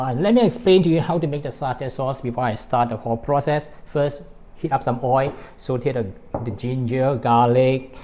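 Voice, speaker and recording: Mellow-voiced Malaysian man teaching cooking. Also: medium pitch. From born in Hong Kong, grew up in Malaysia. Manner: explanatory, step by step. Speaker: cooking show host. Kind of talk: recipe demonstration to camera.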